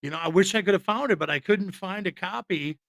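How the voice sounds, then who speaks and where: A man with a bright, somewhat high voice, recorded dry and close. He co-hosts a podcast, chatting casually about movies. His delivery is loose, rambling and full of opinion. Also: a little fast, vocal fry, no room sound. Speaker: podcast co-host. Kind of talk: casual film chat.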